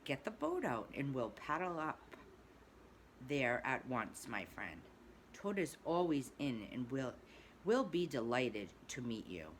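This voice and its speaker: A woman voicing a sensible male water rat in a storybook reading, dry and measured. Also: rumbling low, sing-song, a little hiss or hum, full character voice. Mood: friendly.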